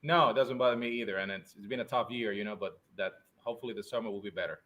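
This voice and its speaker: A man from Spain, a urologist guest answering interview questions conversationally, somewhat halting and repeating words. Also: lowish voice, a bit bright. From born in Spain, Puerto Rican and Spanish family. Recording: faint background noise.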